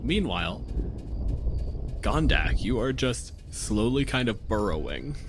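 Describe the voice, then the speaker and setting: A man's voice: on the low side, very animated, takes it slowly. A man acting as game master, casually giving rulings and describing scenes for a tabletop game.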